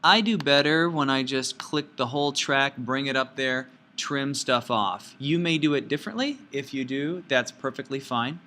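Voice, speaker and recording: A man giving informal step-by-step software instruction as a teacher, with folksy, playful phrasing. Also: medium pitch, expressive, moderate pace, few pauses. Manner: folksy, playful asides and sound words.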